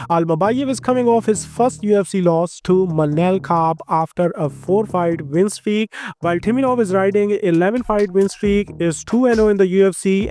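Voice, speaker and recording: A man with an Indian accent hosting a fight news channel, reading a brisk scripted rundown of announcements. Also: high voice, a little mellow. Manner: brisk, list-like delivery with slightly nonstandard grammar. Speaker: MMA news host. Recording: music bed underneath.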